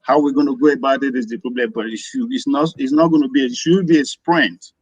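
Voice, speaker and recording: A man's voice: medium pitch, steady tone, fast talker, dry recording, dull audio. Rambling Nigerian man arguing a point. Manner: rambling, repeats himself while arguing. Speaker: caller. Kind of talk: live audio room discussion.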